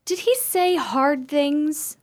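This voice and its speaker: A woman's voice: sits high, slow pace. A woman playing a composed married sister in an old-fashioned gothic radio drama, speaking with formal, genteel diction. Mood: earnest, troubled.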